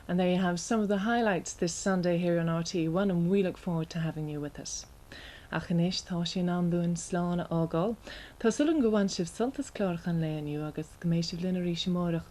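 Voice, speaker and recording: An Irish-accented woman reading scripted television continuity announcements in a polished, measured way. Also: fairly low pitch, mellow, poor audio.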